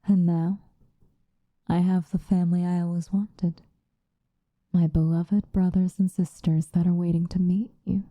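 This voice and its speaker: An obsessive female vampire played by a woman speaks slowly in a very dark, soft voice, close and dry. She is intimate and breathy, dropping into whispers. It is an ASMR roleplay, sinister and tender with a possessive edge. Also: fairly low pitch, level tone, dry recording. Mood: reflective, proud. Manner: intimate, breathy, drawn-out seductive delivery, often dropping into whispers.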